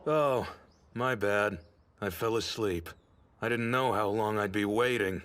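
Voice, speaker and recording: An actor playing a blunt, cocky teenage martial artist in an anime dub, laid-back and casually condescending. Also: male voice, on the low side, a little slow, fairly bright tone. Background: music.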